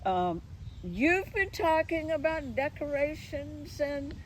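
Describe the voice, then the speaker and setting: A woman's voice: high-pitched, very animated, takes it slowly, slight background noise, low-quality recording. A woman hosting a conversational interview, explaining things in a slightly hesitant, roundabout way. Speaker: interviewer and event organizer.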